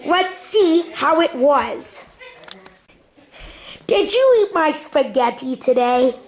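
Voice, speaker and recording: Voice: high-pitched, heavily acted. A performer heard as a woman, voicing a cheerful, eager puppet interviewer in playful on-the-spot questioning. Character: cheerful puppet interviewer and camp chef, high-voiced. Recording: chatty crowd behind, amateur camera.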